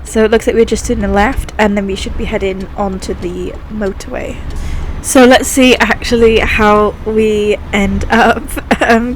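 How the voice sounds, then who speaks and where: Young woman, gaming host, croaky sore-throat voice, chatty and upbeat, with light vehicle hum. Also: mid-range voice, rough recording. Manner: chatty, upbeat, thinking aloud while playing. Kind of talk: casual gameplay commentary.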